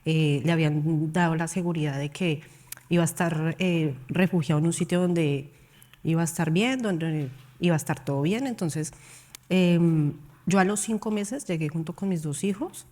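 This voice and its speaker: A woman from Colombia, a panel guest at a conference, giving a personal account with hesitant pauses and fillers. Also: low-pitched, darkish tone. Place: conference hall.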